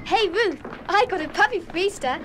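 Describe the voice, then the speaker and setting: A woman playing an excitable young schoolgirl, eager and chattering, in a children's radio play. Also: extremely high pitch, moderate pace. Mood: excited. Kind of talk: full-cast children's radio play acting. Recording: noisy old audio drama recording.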